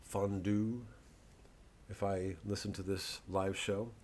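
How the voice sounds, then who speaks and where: A man's voice: fairly low pitch, little pitch movement, slow pace, a little mellow, a little hiss or hum. A man puts on a coy, dithering shopper in a comedy bit. The delivery is hesitant and musing, as if thinking aloud. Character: dithering, coy shopper hoping to impress a friend.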